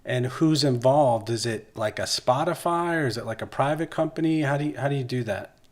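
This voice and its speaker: A man's voice: mid-range voice, a little fast. A man hosting a podcast interview, putting questions to his guests in an informal, slightly tentative way. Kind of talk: casual interview, relaying questions.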